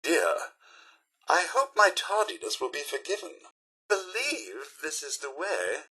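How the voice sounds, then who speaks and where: A prim British robot butler from a video game, high-pitched and wildly swooping in melody. The voice is bright and forceful, and it carries itself with pompous politeness and a sardonic streak. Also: male voice, big pitch swings, a little slow, slightly rough, full character voice, rough recording. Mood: dutiful, musing. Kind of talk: theatrical video game character lines.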